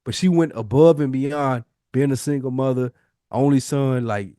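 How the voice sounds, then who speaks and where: A man's voice: medium pitch, normal speed, warm tone, clear, resonant tone, no room sound, clean studio sound. A man in his forties telling personal stories in casual podcast chat, slangy and profane, repeating phrases for emphasis. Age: 40s. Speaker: podcast co-host.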